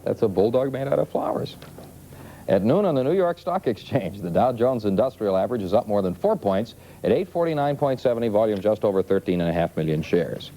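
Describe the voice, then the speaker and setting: A man's voice: fairly low pitch, very animated, fairly quick, warm tone. An American man from the East, working as a news anchor and co-host with broadcast delivery and banter. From eastern United States. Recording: muffled 1970s TV recording, faint noise.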